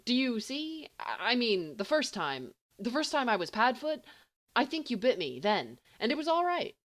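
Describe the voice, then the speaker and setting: A woman voicing an earnest, flustered, irritable teenage boy in audiobook dialogue, with halting delivery. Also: a little high, sing-song, fairly bright tone, voice pushed up. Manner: halting, stammering.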